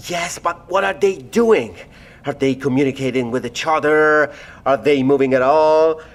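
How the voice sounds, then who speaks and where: Casting: male actor for impatient, fussy doctor in audio drama, fairly high voice, exacting and insistent. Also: moderate pace, close to an everyday voice. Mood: impatient, sarcastic.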